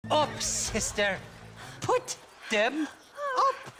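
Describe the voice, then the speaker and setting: A man's voice: extremely high pitch, wildly swooping pitch, drawn-out words, background noise, full character voice. A man playing a drunken, wheedling Irish scoundrel in a Western video game, with an Irish accent. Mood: blustering. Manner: blustering, wheedling.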